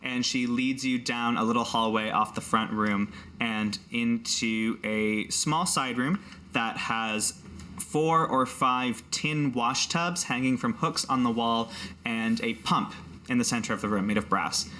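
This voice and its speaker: A man with a bright, forward voice at an average male pitch leads a tabletop role-playing game. He works as the game master, narrating scenes and talking casually with his players. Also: unhurried, slight background noise.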